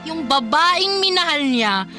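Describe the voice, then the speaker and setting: Bright, high-voiced hurt, bitter sister. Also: female voice, lively intonation, takes it slowly, full character voice. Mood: hurt, accusing. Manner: accusing, emotional delivery. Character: hurt, bitter younger woman confronting her sister. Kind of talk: Filipino radio drama acting. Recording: music behind the voice.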